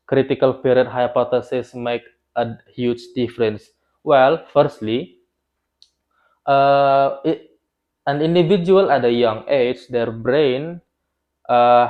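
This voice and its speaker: A young man whose first language is Indonesian, presenting an explainer video on learning languages in a loose, unscripted way, with frequent restarts and fillers. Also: mid-range voice. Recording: slightly dull lo-fi sound.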